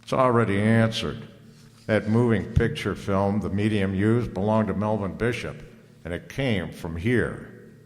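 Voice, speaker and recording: An actor playing a cool, wisecracking private detective in an old-time radio drama, with a sardonic, slangy delivery. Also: male voice, on the low side, unhurried, close to an everyday voice. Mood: accusing, sardonic. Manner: sardonic, slangy, hard-boiled. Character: cool wisecracking private detective, narrating his own case. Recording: reverberant hall.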